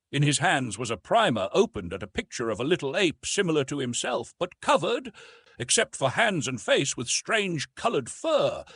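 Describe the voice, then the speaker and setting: A man narrating a literary audiobook in an expressive, measured storytelling manner with dramatic emphasis. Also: medium pitch, breathy edge, dry recording.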